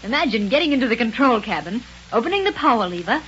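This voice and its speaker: A woman's voice: fairly high pitch, big pitch swings, quick pace, fairly bright tone. An actress playing a spirited, plucky young woman lieutenant in a 1930s radio space serial. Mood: keen. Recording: dull, hissy 1930s radio recording.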